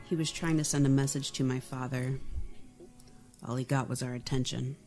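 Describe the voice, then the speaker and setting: An actor playing a calm young male prince who speaks in a dry, measured way. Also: mid-range voice, faint music behind, close to an everyday voice. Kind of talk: audio drama acting.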